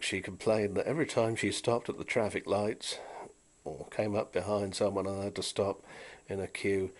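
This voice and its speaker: A middle-aged British man with a fairly low voice talks casually, like a hobbyist showing his handiwork. He rambles and muses, with a dry, wry sense of humour running through his delivery. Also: a little mellow. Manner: wry, dryly humorous, musing. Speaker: vintage audio hobbyist and repairer presenting. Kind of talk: casual, rambling demonstration chat with anecdotes.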